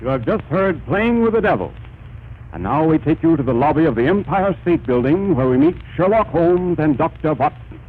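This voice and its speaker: A man's voice: on the high side, very animated, moderate pace. A man as an earnest, formal 1930s radio announcer, reading scripted lines. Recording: noisy, tinny 1930s radio recording.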